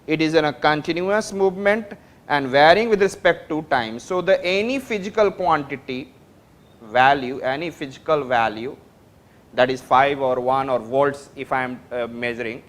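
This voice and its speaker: A man with an Indian English accent teaches a class, recorded in a classroom. His voice sits high, and he repeats phrases as he builds each point, stopping often to ask whether his students understand. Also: moderate pace, a bit bright. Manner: repetitive, explains step by step, checks understanding. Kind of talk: classroom lecture.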